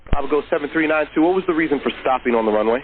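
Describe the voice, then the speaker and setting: Fast-talking male controller on radio. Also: on the high side. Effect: radio transmission. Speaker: air traffic controller. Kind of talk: rapid radio instructions in clipped aviation phraseology. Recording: tinny air traffic radio with hiss.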